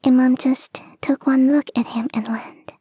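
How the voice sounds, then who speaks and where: Playful, devoted fox girl, played by a woman: slow, soft, mellow whisper, intimate ASMR roleplay, close dry mic. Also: sits high, steady tone, dry recording. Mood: fond, wry. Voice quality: breathy, whispery. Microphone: close microphone, dry.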